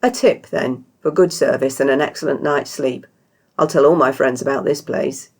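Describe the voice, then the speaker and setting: Woman as British male traveller, storybook, low, creaky, stern, clipped, reserved. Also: normal speed. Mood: quietly pleased. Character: terse, stern straight-haired male traveller.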